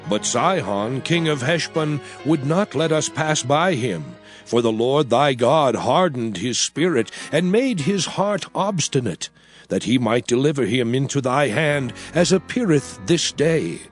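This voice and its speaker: An actor playing an elderly prophet leader, solemnly declaiming in a measured way as dramatized scripture, with music underneath. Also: male voice, mid-range voice, animated, close to an everyday voice. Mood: solemn, commanding.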